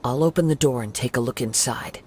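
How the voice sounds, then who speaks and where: A man voicing a calm young wandering samurai in a video game, soft-spoken, composed and reassuring. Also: medium pitch, fairly quick, noisy background, lightly acted.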